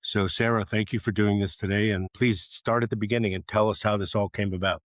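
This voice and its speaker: Chatty podcast host, lowish voice. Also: male voice, fairly even intonation, brisk, no echo, clean studio sound. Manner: chatty, rambling, with false starts. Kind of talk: casual interview hosting.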